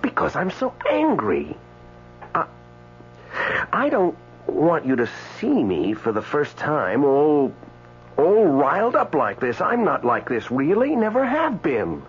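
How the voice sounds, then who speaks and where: An indignant man stranded after death, in an old radio play. His voice is on the high side and swings dramatically, with halting, pleading pauses. The sound is dull, with a faint hum. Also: huge pitch swings, heavily acted. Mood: aggrieved. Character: indignant, newly dead man stranded in limbo. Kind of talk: radio drama acting with first-person narration.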